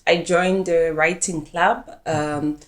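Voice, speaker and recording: A woman's voice: lowish voice, steady tone, slow. A young woman from Zimbabwe with a Zimbabwean accent, answering at length as an interview guest, often backtracking to rephrase. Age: young adult. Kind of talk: conversational interview.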